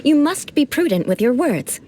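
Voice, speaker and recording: A woman's voice: high voice, big pitch swings, moderate pace, warm tone, a little hiss or hum. An actress voicing a proud young aristocratic woman who speaks in formal, lofty, precise language, in a video game. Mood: instructive. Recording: game audio with music.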